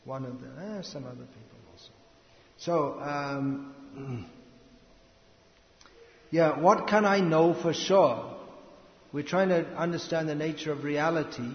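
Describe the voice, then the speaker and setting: Man lecturing slowly in a big reverberant hall, muffled lo-fi sound, halting delivery. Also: fairly high pitch. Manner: slow, halting, with pauses and restarts. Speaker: speaker giving a spiritual lecture. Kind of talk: slow philosophical lecture. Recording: echoey hall, lo-fi with faint noise.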